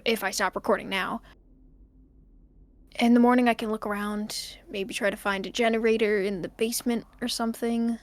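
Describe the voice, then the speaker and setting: Woman playing an anxious, halting higher-voiced amateur investigator. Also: dry recording, close to an everyday voice. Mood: uneasy resolve. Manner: halting, hesitant, musing in fragments. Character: anxious, snowbound amateur investigator dictating audio notes. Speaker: main character recording an audio journal. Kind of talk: audio drama monologue, halting personal recorded diary.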